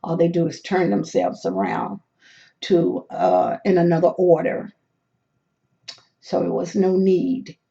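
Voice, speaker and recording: A hoarse host explains number charts step by step, with hesitant restarts. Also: mid-range voice, very animated, takes it slowly, pauses often, mellow, muffled recording. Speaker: lottery number tutorial host. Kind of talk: screen walkthrough of number charts.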